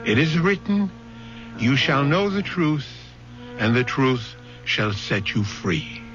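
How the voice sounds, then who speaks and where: An older man closing a radio mystery show as host, measured and moralizing. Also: mid-range voice, fairly bright tone. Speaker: producer-director and show host. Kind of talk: scripted closing narration. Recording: dull old radio broadcast with music.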